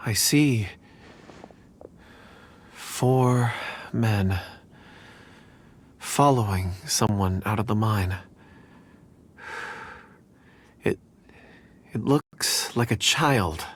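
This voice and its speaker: A man's voice: fairly low pitch, drawn-out words, pauses often, slight background noise. A man acting a polite, earnest and slightly hesitant young man in a video game. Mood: focused, uncertain. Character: polite, earnest young man who reads ore memories.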